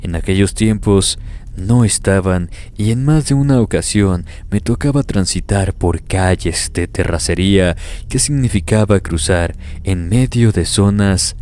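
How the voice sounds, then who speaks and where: A man narrating first-person horror stories in a grave, steady, scripted delivery. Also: low-pitched, measured pace. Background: faint background music.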